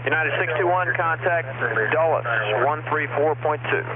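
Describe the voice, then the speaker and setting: A man working as a departure air traffic controller, issuing brisk, clipped radio instructions. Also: fairly high pitch, steady tone. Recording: tinny radio channel with static hiss.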